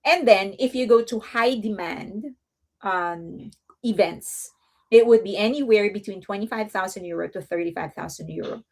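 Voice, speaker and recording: A woman's voice: mid-range voice, moderate pace. A woman with an accent, hosting a casual fan news livestream, chatty and rambling, often laughing. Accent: non-native English accent. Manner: speaks softly and coos to a baby at times. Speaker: host of a fan news channel.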